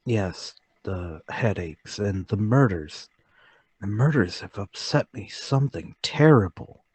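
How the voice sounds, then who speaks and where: A man's voice: on the low side, lively intonation, slow, darkish tone, slightly rough, no room sound, exaggerated voice. A man playing a meek, troubled middle-aged salesman in a radio play table read, hesitant and apologetic. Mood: uneasy, apologetic.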